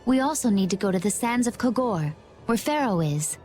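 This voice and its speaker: Actress as a composed young woman, middle-pitched. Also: lively intonation, a little fast, a little mellow, soft background music, natural voice. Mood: calm, composed. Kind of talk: English video game voice acting.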